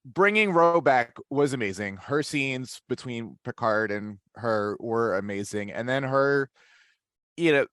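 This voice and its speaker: A man's voice: mid-range voice, animated, unhurried, fairly bright tone, smooth, dry recording, studio quality. A man talking casually as a panelist in a podcast discussion.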